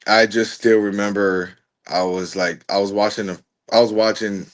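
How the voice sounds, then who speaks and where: A young man in his twenties, a college pitcher, talking casually as a podcast guest and filling his answers with "you know". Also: moderate pace. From Richmond, Virginia. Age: 20s. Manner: relaxed, rambling, many "you know" fillers. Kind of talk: casual interview chat.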